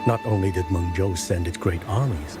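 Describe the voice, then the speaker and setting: An actor playing a kindly old village chief in a video game, telling things in a solemn, measured way. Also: male voice, sits low, loud music behind the voice, lightly acted. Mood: solemn remembrance. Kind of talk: dramatic game voice acting.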